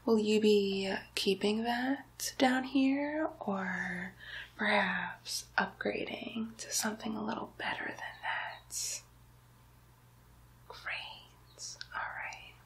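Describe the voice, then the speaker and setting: A woman voicing a playful, sly female demon doctor in an ASMR medical roleplay, whispering softly in a slow, teasing, lightly menacing way. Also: mid-range voice, exaggerated voice. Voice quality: soft breathy whisper.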